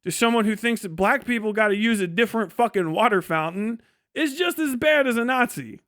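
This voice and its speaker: A man with a notably high voice talks off the cuff as a livestream host. He is blunt and sarcastic and swears freely. The sound is dry and close, with very little room in it. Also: animated, fairly quick, a bit bright, no room sound. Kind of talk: casual livestream commentary.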